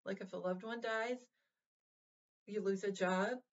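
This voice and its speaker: A woman hosting a vlog, talking to her viewers in a casual, heartfelt way, gentle and reassuring, with lots of fillers and restarts. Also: medium pitch, fairly even intonation, measured pace, dull audio. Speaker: vlog host.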